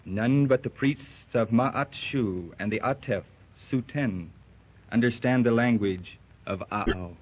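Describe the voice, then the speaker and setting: An actor playing a masked warrior chief who speaks slowly and formally in archaic English, in a 1930s radio drama. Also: male voice, mid-range voice. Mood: solemn. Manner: slow, formal and archaic, with thee and thou. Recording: tinny old 1930s radio recording.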